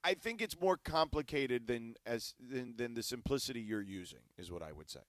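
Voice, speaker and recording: Male sports radio co-host, mid-range voice, dry studio, conversational debater turned energetic ad reader. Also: normal speed, dry recording. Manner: animated, pitchman energy in the ad read. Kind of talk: sports talk debate and live-read ad.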